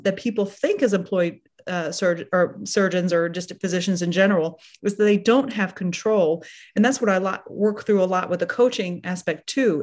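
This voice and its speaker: A woman surgeon hosting a podcast, speaking in a reflective, measured way with frequent pauses. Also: mid-range voice, a little mellow. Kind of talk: casual podcast interview.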